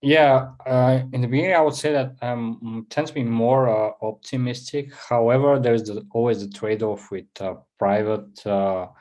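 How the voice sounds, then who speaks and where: A man's voice: mid-range voice, fairly even intonation, moderate pace, few pauses, a little mellow. A man, a citizen panelist on a video call, speaking hesitantly with many fillers.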